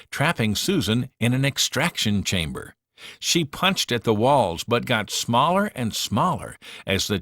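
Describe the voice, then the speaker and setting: A man narrating a children's storybook in an expressive, dramatic storytelling manner. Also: on the low side, no echo, studio quality.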